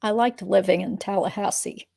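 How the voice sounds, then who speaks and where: A woman reading the part of a witness in a deposition, answering in a guarded, terse and measured way. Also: medium pitch, fairly even intonation, vocal fry, darkish tone. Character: guarded female witness giving terse answers under questioning. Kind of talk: dramatic reading of a deposition transcript.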